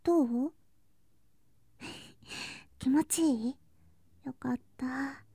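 A woman playing an affectionate, clingy younger girl cousin in an intimate bedtime ASMR drama, speaking softly and breathily, often in whispers, drowsy and coaxing. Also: high voice, drawn-out words, breathy edge, no echo, clean studio sound, exaggerated voice. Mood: tender whispering. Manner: soft, drowsy, coaxing, sweetly childlike.

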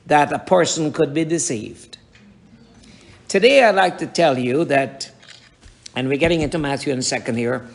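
A raspy-voiced middle-aged man delivers a sermon to his congregation. He speaks at an unhurried, measured pace in a slightly high register, with a gravelly edge. He presses his points home with pointed rhetorical questions. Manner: slow, emphatic preaching with rhetorical questions. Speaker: pastor.

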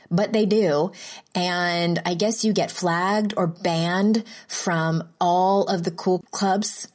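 A woman hosting her own podcast, chatting casually and self-deprecatingly into a home microphone, laughing often. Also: fairly low pitch. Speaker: podcast host. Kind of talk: casual solo podcast monologue.

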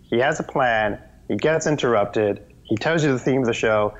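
Brief: a man in a casual interview, mid-pitched, animated and rambly, on slightly dull audio. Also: moderate pace. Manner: animated, rambling, with many restarts. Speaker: guest, children's TV performer.

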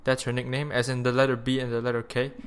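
A man's voice: medium pitch, flat delivery, fast. A young man with a Malaysian accent hosting a podcast in a rambling, crude, self-mocking casual chat. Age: young adult. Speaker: podcast host.

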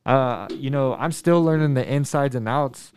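A man in his twenties from Denver, a middle school math teacher, answering students' questions in a thoughtful, earnest way, pausing often with ums and restarting sentences. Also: mid-range voice, darkish tone, smooth. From Denver, USA. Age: 20s. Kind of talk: casual interview answers to students.

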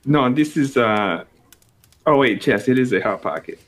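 A man's voice: medium pitch, expressive, normal speed, natural voice. A man playing a vain, tipsy female actress with a put-on Colombian Spanish accent in an improvised comedy bit. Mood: haughty tipsy. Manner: haughty, blunt, rambling.

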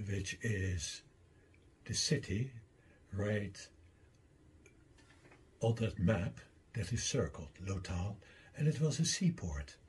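An older man with a Dutch accent, a native Dutch speaker, giving a deliberate slide lecture and explaining his own theory step by step. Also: on the low side, expressive, a little hiss or hum.

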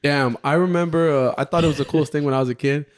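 A man, chatting casually with friends, animated and laughing often. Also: fairly high pitch, racing, slight background noise. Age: 20s. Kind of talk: casual chat among friends.

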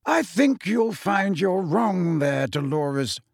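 Male reader as a gentle old wizard headmaster, soft, quiet, unhurried. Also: high-pitched, expressive, vocal fry, no room sound, clean studio sound, voice pushed up, exaggerated voice. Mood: calm.

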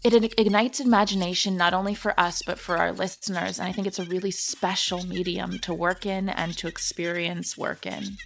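Woman podcast guest, lowish voice with strong vocal fry, earnest and thoughtful. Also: steady tone, moderate pace, few pauses, a bit bright, a little hiss or hum. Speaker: podcast guest, audio drama creator. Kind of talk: earnest conversational interview answers.

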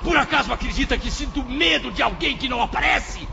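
A man's voice: very high voice, fairly quick, gravelly, slight background noise, exaggerated voice. A man acting in a radio drama as a tormented, defiant spirit of a suicide, grave and declamatory. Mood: furious, terrified. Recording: dull lo-fi audio under loud music.

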